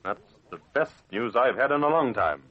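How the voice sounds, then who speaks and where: An actor playing a suave, confident sleuth hero in an old Australian radio drama, unflustered and wry. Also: male voice, mid-range voice, animated, moderate pace, no echo, natural voice. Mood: assured. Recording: muffled lo-fi vintage radio recording.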